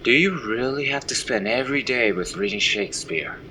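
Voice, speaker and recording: Bright-voiced questioning farm son played by a man. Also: medium pitch, animated, a little fast, slight background noise, rough recording. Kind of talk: audio drama acting.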